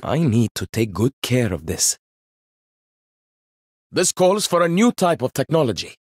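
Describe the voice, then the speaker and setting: An actor voicing a proud, witty young male inventor-warrior in a video game, speaking in measured, confident lines with dry wit. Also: medium pitch, very animated, breathy edge, no room sound. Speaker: game character.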